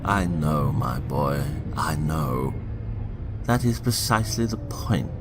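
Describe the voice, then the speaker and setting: A man playing an elderly, manipulative statesman in a fan audio drama, grave and deliberate, with a gravelly, insinuating delivery. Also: a bass voice, lively intonation, over music, full character voice. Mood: insinuating, intense.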